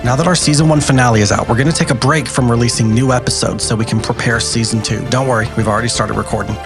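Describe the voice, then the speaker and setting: A man reads a scripted announcement for a podcast at a quick pace. His voice sits at a middle pitch. Music plays clearly underneath. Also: steady tone. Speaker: announcer for the show.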